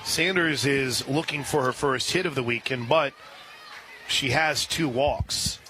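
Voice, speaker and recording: An American man doing live radio play-by-play of softball, chatty and folksy between pitches. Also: measured pace. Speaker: play-by-play announcer. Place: outdoor softball stadium. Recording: noisy outdoor ballpark broadcast. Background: loud ambient ballpark noise.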